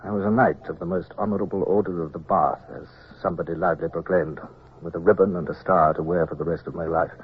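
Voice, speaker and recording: An actor playing an earnest, anxious naval captain, narrating introspectively in an old-time radio drama. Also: male voice, sits low, steady tone, quick pace, highs cut off, lightly acted. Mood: dazed. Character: earnest, anxious male naval captain telling his story. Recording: phone-thin old radio recording, hissy.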